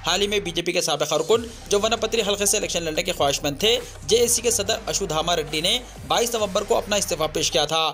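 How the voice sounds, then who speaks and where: A man's voice: high voice, fast talker, hardly any pauses, bright, forceful tone. A man reads a scripted news bulletin in a formal, declarative way. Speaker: news reader.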